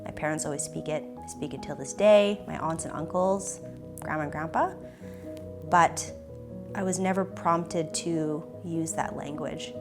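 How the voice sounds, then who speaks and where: A young Canadian woman sits for an interview and answers in a thoughtful, hesitant way, pausing often to find her words. Her voice sits on the low side for a woman, and soft music plays faintly behind her. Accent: Canadian English. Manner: thoughtful, hesitant, with many pauses and restarts. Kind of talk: reflective personal interview.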